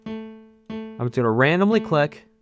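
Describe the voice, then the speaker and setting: A man teaching as a channel host, casually narrating a step-by-step music software tutorial and talking through each click. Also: fairly high pitch, very animated, measured pace.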